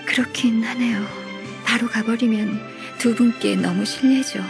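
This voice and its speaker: A gentle, dutiful young secretary from a video game. Her voice sits fairly high and soft, with modest, courteous, formal phrasing. Light music plays underneath. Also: fairly even intonation, unhurried. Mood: gentle, wistful. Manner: polite, soft-spoken, formal. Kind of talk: Korean video game voice acting.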